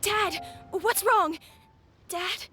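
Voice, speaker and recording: A woman's voice: very high voice, drawn-out words, soft background music, exaggerated voice. A woman voicing a frightened, pleading young child in a game, with a childlike voice. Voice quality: childlike, bright.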